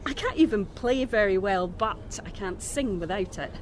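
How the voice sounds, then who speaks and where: A woman's voice: mid-range voice, lively intonation, moderate pace, noisy background, lightly acted. An actress playing a flustered, apologetic, scatterbrained young woman in a naturalistic audio drama. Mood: flustered, grateful. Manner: apologetic, flustered, self-deprecating.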